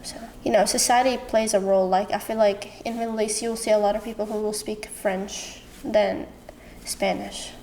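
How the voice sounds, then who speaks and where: A woman's voice: medium pitch, fairly even intonation, normal speed, a little mellow, a little hiss or hum, rough recording. A woman from Syria with a Syrian Arabic accent and Arabic as her native language, talking expressively and informally as a podcast guest. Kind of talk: casual personal interview conversation.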